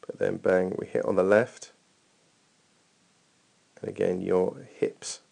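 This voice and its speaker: A man's voice: low voice, steady tone, slow pace, creaky, a little mellow. A man giving informal running technique feedback over a training video as a coach, thinking aloud in a hesitant way.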